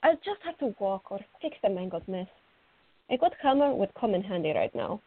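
A woman's voice: mid-range voice, big pitch swings, normal speed, breathy edge. A woman playing a fiery, cheeky teenage girl in a radio drama, eager and playful. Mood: wry, exaggerating. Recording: dull lo-fi recording.

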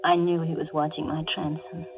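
A woman playing a terrified young heroine recounting horror in halting, melodramatic 1940s radio thriller style. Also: low-pitched, flat delivery. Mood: tense dread. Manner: hushed, halting, breathless melodramatic delivery. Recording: lo-fi old radio with organ music.

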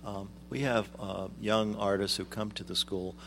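A middle-aged man with a very low, deep voice speaks in a measured, explanatory way, pausing often with ums. A faint hum sits under the recording. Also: fairly even intonation, gravelly, a bit bright. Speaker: guest, arts executive director. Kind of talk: radio interview.

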